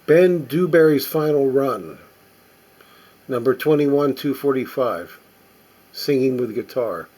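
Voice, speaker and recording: Husky-voiced man musing aloud. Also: medium pitch, expressive, mellow. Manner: halting, musing aloud as he inspects items. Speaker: record collector presenting finds. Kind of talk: casual unscripted show-and-tell commentary.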